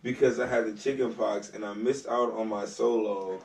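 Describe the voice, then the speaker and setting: A man's voice: lowish voice, steady tone, normal speed, a little mellow. Joking man telling stories, roomy room. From Ohio. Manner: joking, teasing, storytelling. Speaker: podcast co-host, band member. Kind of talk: casual banter among friends. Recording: roomy, distant mic with faint hiss.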